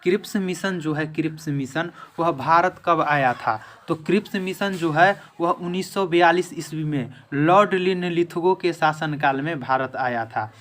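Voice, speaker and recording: Bright-voiced man teaching exam questions. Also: on the high side, unhurried, few pauses. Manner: repetitive, emphatic, restates each answer. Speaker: teacher, exam-prep instructor. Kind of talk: question-and-answer exam lesson.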